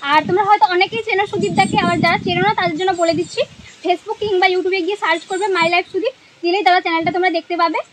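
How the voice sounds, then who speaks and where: A young woman speaks. She talks very quickly in an informal vlogger's way, as on a prank call. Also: extremely high pitch, fairly even intonation, a bit bright, a little hiss or hum. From West Bengal, India. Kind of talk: casual prank phone call.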